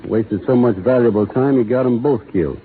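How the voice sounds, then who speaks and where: An actor playing a laconic young cowboy who talks in a slow, folksy rural drawl, in a 1930s radio drama. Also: male voice, medium pitch, husky. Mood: opinionated. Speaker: lead actor in a radio play. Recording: tinny 1930s radio broadcast with faint hiss.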